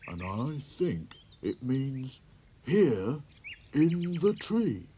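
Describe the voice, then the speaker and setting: Brief: man voicing a bumbling boy rag doll, higher than his own voice, drawn-out. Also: very animated, dark tone, a little hiss or hum. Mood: puzzled. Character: small, kindly, bumbling male rag doll.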